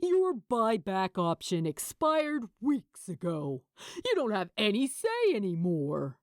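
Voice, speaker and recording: A woman's voice: medium pitch, huge pitch swings, takes it slowly, a little mellow, no room sound. A woman voicing a bullying, pompous male studio boss in a story, with a snarling, sneering delivery.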